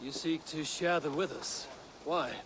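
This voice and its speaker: An actor playing a stern, commanding Norse clan leader in a video game, speaking with blunt control. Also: male voice, fairly high pitch, measured pace, noisy background, lightly acted. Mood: calm authority.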